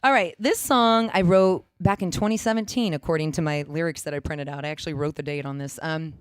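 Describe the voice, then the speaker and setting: A middle-aged American woman with a low, raspy voice, chatting casually and jokily between songs in a rambling, self-deprecating way. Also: fairly quick, dry recording. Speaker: singer-songwriter. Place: live-streamed home performance with guitar.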